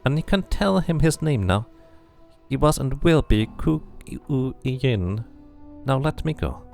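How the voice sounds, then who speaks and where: A man's voice: mid-range voice, animated, measured pace, vocal fry, darkish tone, soft background music, pitched above the performer's own voice. A man voicing a sad, haunted woman who clings to something she stole, speaking in a fragile, pleading way while reading a game aloud. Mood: weary. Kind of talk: amateur game voice acting.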